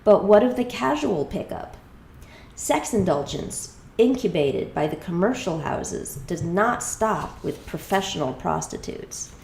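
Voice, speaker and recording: A woman with a fairly low voice delivers a scripted exposé as dramatic narration. Her delivery is deliberate and emphatic, with a rhetorical edge. The recording carries clear room echo around her words. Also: lively intonation, darkish tone, slight background noise. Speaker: reader of a memoir exposé. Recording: echoey room sound.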